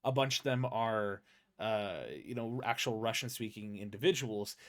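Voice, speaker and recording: A man's voice: fairly low pitch, normal speed. Rambling young American man hosting. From United States. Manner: rambling, many fillers and restarts, animated when telling stories. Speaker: podcast host. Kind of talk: casual podcast interview.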